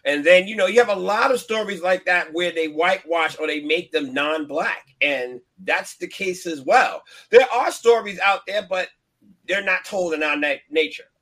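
A man's voice: high-pitched, moderate pace, bright, forceful tone. A man chatting on a show, earnestly explaining his views at length. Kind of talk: casual chat.

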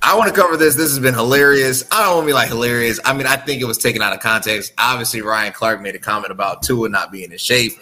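A man's voice: medium pitch, quick pace, few pauses, pushed and bright, soft background music. A man hosting a casual sports talk podcast, chatty, often checking in with "right?". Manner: chatty, frequent "right?" tags. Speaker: podcast host.

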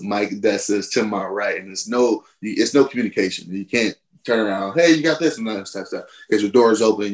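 A man in his thirties, a former college football player, telling stories on a podcast in a casual, animated way, laughing and jumping high in pitch when he quotes himself. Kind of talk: casual storytelling.

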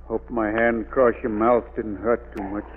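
A man playing a menacing, vengeful killer with folksy, rough grammar in an old radio thriller. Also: fairly low pitch, level tone, normal speed, lightly acted. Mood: gloating. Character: vengeful, menacing murderer with a pet wolf. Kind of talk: 1940s radio villain acting. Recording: noisy, phone-like old radio recording.

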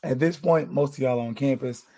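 Hoarse, gravelly male podcast host. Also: medium pitch, normal speed. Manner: laid-back, chatty, gives shout-outs. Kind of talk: casual sports podcast chat.

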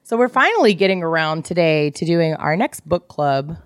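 Low-voiced woman, dry close sound. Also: lively intonation, moderate pace, no room sound. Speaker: podcast co-host. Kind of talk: casual book club chat.

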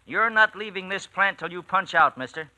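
Blustery, high, sharp factory clock man. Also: moderate pace, lo-fi sound. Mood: indignant. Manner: scolding, blustery, folksy slang. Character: bossy, exasperated factory time-clock man, older than worker. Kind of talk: 1940s radio acting.

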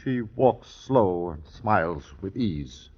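A man's voice: low-pitched, lively intonation, takes it slowly, a little mellow, slightly rough, heavily acted. Proud old village father, muffled recording. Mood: accusing. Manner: earnest, emphatic, formal declarations. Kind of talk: old-time radio drama acting.

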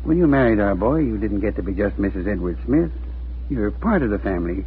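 A man's voice: lowish voice, expressive, fairly quick. An actor playing a good-natured middle-aged family father in a 1940s radio drama, homely and reassuring. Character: good-natured, steady family father running a service station. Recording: tinny, noisy 1940s radio recording.

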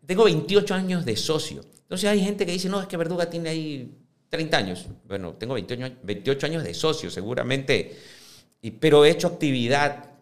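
Man in his fifties, podcast guest, measured delivery, frequent restarts, mid pitch. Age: 50s. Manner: measured, repeats words and restarts while building points. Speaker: club presidential candidate. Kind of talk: podcast interview answers.

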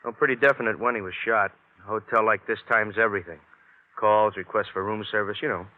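A man playing a wisecracking American police detective from an old radio crime show. He is low-pitched, casual and wry, heard through narrow, tinny vintage sound. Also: steady tone, a little fast, natural voice. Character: wry, plain-talking male police detective sidekick. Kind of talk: 1950s radio crime drama acting.

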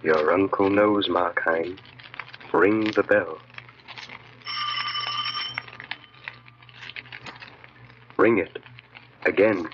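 An actor playing a sinister inner voice that coldly and deliberately urges and commands, in 1940s radio horror style. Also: male voice, deep voice, steady tone. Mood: commanding. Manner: insistent, deliberate, repetitive urging. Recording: muffled, noisy old radio recording.

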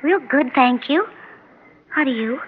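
A sweet little girl in hospital, earnest and childlike, with a high voice. It is an old radio drama recording, dull and faintly noisy. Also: lively intonation, unhurried. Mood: earnest, friendly. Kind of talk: 1960s radio drama acting.

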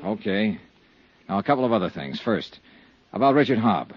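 An American actor playing a commanding, tough insurance investigator in a 1950s radio detective drama, with crisp, authoritative delivery. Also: male voice, fairly low pitch, sing-song, normal speed, no room sound, heavily acted. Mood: tough, guarded. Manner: crisp, authoritative, clipped orders. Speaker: lead actor and narrator. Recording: muffled 1950s radio recording.

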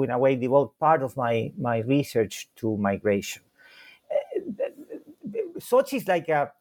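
A man from Chile, a Spanish speaker, reflectively telling his personal story as an interview guest. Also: fairly high pitch. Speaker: political science scholar, interview guest.

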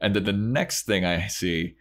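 Male co-host, fairly low pitch, informal and emphatic storyteller for a relaxed recap conversation. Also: unhurried. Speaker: podcast co-host. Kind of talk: casual episode recap.

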